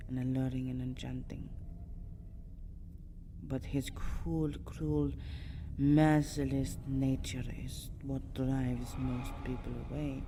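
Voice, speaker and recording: Woman acting as a really deep voiced vampire lady, stern n seductive, asmr roleplay, noisy ambient recording. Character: seductive, stern female vampire, wary and threatening. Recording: clearly noisy, ambient background.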